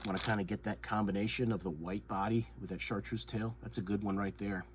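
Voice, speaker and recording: A man's voice: lowish voice, steady tone, brisk. A man hosting his own fishing channel, talking casually and a bit nasally through a head cold, rambling and repeating himself with lots of ums. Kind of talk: casual show-and-tell chat. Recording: noise with crinkling plastic bags. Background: crinkling soft-plastic bait bags.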